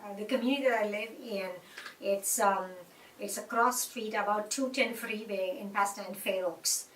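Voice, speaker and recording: A woman's voice: medium pitch, steady tone, moderate pace, a bit bright, slight background noise. Indian-accented woman in an echoey room. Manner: reflective, earnest, many fillers and restarts. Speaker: interviewee. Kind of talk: conversational interview. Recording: echoey room, mic at a distance.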